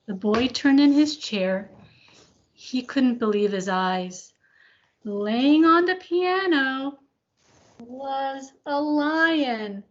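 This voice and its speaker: A woman reading a children's storybook aloud with deliberate, expressive delivery. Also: high-pitched. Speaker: elementary school staff member reading aloud. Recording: dull lo-fi recording.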